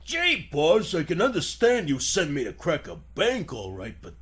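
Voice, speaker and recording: A man's voice: a little high, wildly swooping pitch, normal speed, fairly bright tone, pitched below the performer's own voice. A man voicing a reluctant, grumbling, slangy gangster henchman in a 1940s-style radio play. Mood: reluctant complaint.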